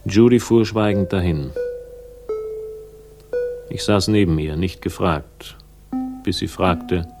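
A man's voice: low-pitched, takes it slowly, pauses often. A man acting in a 1960s German radio crime drama as a wry, laconic investigator, narrating and talking with dry irony. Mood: wry, reflective. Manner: dry, ironic, literary phrasing. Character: wry, laconic male investigator narrating his own case. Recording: vintage radio drama, music behind.

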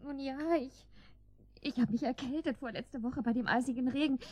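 A woman's voice: high voice, steady tone, normal speed, darkish tone, close to an everyday voice. An actress playing a defiant but anxious captive married woman in a radio drama, proud, brittle and indignant. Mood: wistful, defensive. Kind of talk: German radio drama acting.

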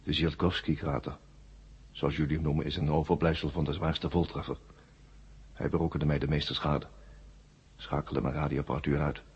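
A man playing an ancient, worn-out war machine brain in a 1970s radio drama, weary and forgetful, in flat, halting, repetitive statements. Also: extremely low pitch, breathy edge, full character voice. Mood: weary, explaining. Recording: muffled old radio drama recording.

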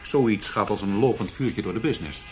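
A man's voice: fairly low pitch, a little fast, natural voice. An actor playing a defensive, sardonic, self-possessed businessman in a radio drama. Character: defensive, sardonic businessman under police questioning. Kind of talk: Dutch radio drama acting. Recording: faint background noise.

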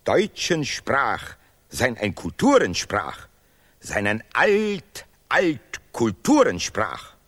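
Pompous, slow, wildly swooping bigot laureate. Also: male voice, medium pitch, huge pitch swings, fairly bright tone, exaggerated voice. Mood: pompous, lecturing. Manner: pompous, declamatory, deliberately broken grammar. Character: pompous bigoted male laureate, sanctimonious and vehement. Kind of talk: stylised absurdist radio play declamation.

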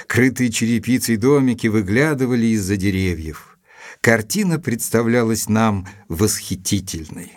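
An actor playing an observant gentleman doctor, narrating his adventure in measured, literary fashion for an audio drama. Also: mid-range voice, no echo, studio quality. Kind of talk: scripted first-person narration in audio drama.

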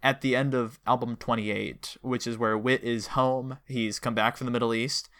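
A man with an average-pitched voice hosts a casual fan discussion. He talks in a chatty, analytical way, laying out details and putting questions to his guest. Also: fairly even intonation, normal speed, a bit bright. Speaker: podcast host interviewing a guest.

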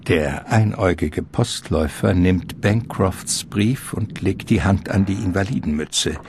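A man narrating a literary radio drama in a measured, ornate storytelling manner. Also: deep voice, a little mellow, slight background noise. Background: music.

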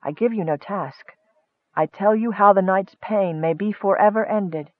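A man voicing a serene, detached goddess, speaking softly and solemnly in a fantasy audiobook. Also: high voice, no echo, phone-quality sound, lightly acted. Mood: solemn calm. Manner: soft, measured, solemn.

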